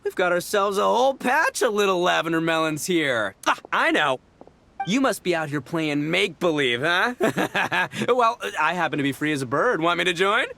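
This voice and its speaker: A brash young oni with a bright, forceful voice and gravelly edge. He swaggers and teases playfully, pitched high for a man and swinging widely, in animated game style. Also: moderate pace, full character voice. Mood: cocky. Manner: swaggering, teasing, slangy. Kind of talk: animated video game voice acting. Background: music.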